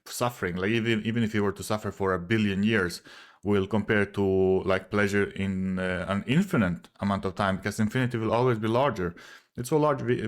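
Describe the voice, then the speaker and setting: A man's voice: fairly low pitch, clear, resonant tone. A man whose first language is not English, casually arguing a point in a video, hesitant with many fillers and restarts, often checking in with "right?". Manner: explains step by step, often asks "right?". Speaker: video creator responding to a commenter. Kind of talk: casual argumentative commentary.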